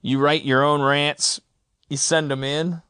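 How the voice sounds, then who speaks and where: A man with a moderately high voice hosts a comedy podcast. He talks in a loose, rambling way, stammering over restarts and tossing in profanity. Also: flat delivery, a little slow, pushed and bright, smooth. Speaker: podcast host.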